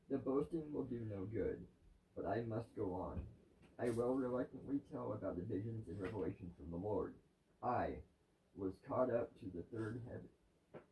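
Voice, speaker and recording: A man's voice: lowish voice, warm tone, a little hiss or hum. Distant, echoey man reading scripture aloud. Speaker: reader of a daily devotional. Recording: distant, roomy, dull lo-fi sound.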